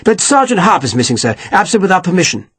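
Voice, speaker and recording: A man's voice: high voice, very animated, fast talker, fairly bright tone, slightly rough, no room sound, pitched above the performer's own voice, exaggerated voice. A man voicing a fussy, indignant army captain who complains and keeps saying sir. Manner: prim, complaining, sticks "sir" on everything.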